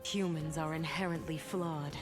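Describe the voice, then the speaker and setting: A low-pitched actress as a dark winged woman, wounded and vengeful. She speaks with solemn, measured gravity, like a fantasy game character, over a bed of music. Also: fairly even intonation, close to an everyday voice. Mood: grave, earnest. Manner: solemn, measured, theatrical delivery. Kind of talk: dramatic game character voice lines. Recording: music behind the voice.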